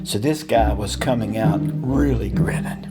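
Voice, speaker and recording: A middle-aged American man with a folksy, animated manner tells a personal anecdote to listeners. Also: fairly low pitch, unhurried. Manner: folksy, animated, acts out dialogue. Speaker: storyteller. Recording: music behind the voice.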